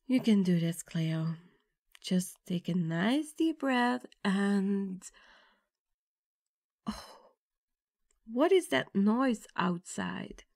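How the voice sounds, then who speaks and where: A woman's voice: medium pitch, animated, drawn-out words, pauses often, a little mellow, no echo, clean studio sound. A woman playing a nervous, affectionate young bride-to-be in an ASMR girlfriend roleplay, with a tender, intimate delivery. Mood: nervous, excited. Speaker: roleplay audio performer.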